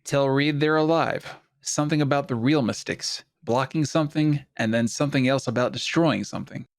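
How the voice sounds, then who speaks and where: A man's voice: mid-range voice, moderate pace, dry recording, natural voice. Man playing an earnest, troubled doctor, dry sound. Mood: troubled, insistent. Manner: urgent, insistent, pleading. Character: earnest, troubled male doctor pleading for his lover. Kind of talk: science fiction audio drama acting.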